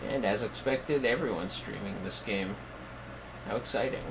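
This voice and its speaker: A man hosting a game livestream, chatting casually and haltingly as he muses to himself. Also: fairly low pitch, noisy background. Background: music.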